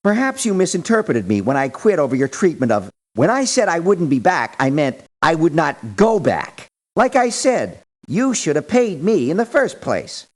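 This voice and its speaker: A man's voice: fairly high pitch, very animated, moderate pace. An actor playing a prim, principled male mercenary in a video game, speaking in formal, precise, politely reproachful sentences. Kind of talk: video game character voice lines.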